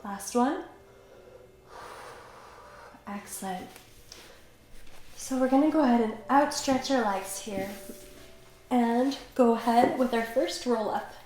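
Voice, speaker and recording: A young woman with a slight cold, calmly and encouragingly guiding a Pilates workout while she does the moves herself. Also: fairly high pitch, slow pace, long pauses. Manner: calm, encouraging, talks while exercising. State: has a cold, slightly stuffy. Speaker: pilates instructor. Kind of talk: guided workout instruction. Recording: very echoey room, faint background noise.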